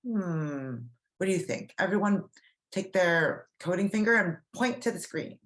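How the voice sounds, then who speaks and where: A woman with a low voice gives a read-aloud lesson for young children. She takes her time, keeps explanations simple and puts questions to her listeners. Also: expressive, measured pace. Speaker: coding teacher reading a story aloud.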